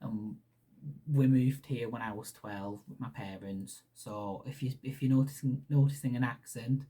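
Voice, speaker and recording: A young man with a Hungarian accent tinged with Lancashire chats loosely as a podcast host, tripping over restarts and filling gaps with ums. His voice is mellow and warm, with a soft husky edge. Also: medium pitch, normal speed, poor audio. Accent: Hungarian with Lancashire English. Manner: rambling, many restarts and ums, laughs shyly. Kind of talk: casual solo podcast chat.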